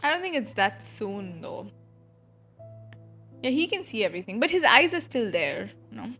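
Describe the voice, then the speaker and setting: A woman's voice: fairly high pitch, big pitch swings, moderate pace, pushed and bright. A woman streamer giving informal live reactions to a game, musing aloud as she watches. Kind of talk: casual live reaction commentary. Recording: faint game music behind.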